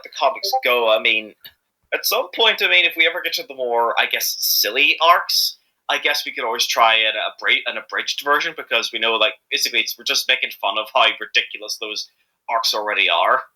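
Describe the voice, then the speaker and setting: A man's voice: mid-range voice, fairly quick, pushed and bright, rough recording. A man chatting casually as an interviewed amateur voice actor, rambling and often repeating himself hesitantly.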